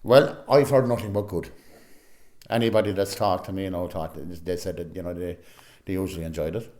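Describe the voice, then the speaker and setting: Irish guy in a casual interview, lowish soft dark voice, chatty, lots of you knows. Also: steady tone, brisk. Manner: chatty, frequent "you know". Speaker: amateur actor, interviewee.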